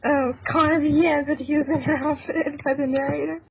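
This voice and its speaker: A high-pitched woman narrates a story in an informal, giggle-prone way. She trips over a word here and there, and the sound is thin like a phone call with faint noise. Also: steady tone, normal speed. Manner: informal, breaks into giggles, stumbles over lines. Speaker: narrator of a fan fiction reading. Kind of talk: scripted story reading with giggly ad-libs.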